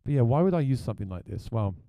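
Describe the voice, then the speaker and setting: A man with a deep voice, a gigging musician, chatting plainly through a gear review. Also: very animated, racing, vocal fry, dark tone, no echo.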